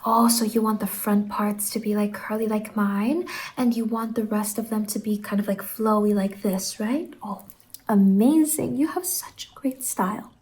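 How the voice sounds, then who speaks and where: A woman performing ASMR roleplay as a friendly salon stylist, speaking softly and reassuringly with some whispering, close to the microphone. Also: medium pitch, fairly even intonation, moderate pace. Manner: gentle, reassuring, addresses listener as a client. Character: friendly whimsical female stylist in a dream-world salon.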